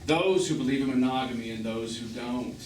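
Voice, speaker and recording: A man's voice: medium pitch, a little fast, darkish tone, lightly acted. An actor on stage playing a bitter, wounded man in his fifties, sarcastic and theatrical, as a jilted lover in a live drama. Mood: impassioned, aching. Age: 50s. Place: small theatre, recorded from the audience. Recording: echoey, noisy stage recording from far off.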